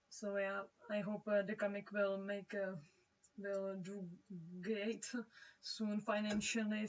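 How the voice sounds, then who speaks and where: Slow, hesitant Czech-accented woman. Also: mid-range voice. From Czech Republic. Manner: hesitant, halting, searching for words. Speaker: livestreaming comic artist. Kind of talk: casual livestream chat while drawing. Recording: dull, distant-sounding home microphone.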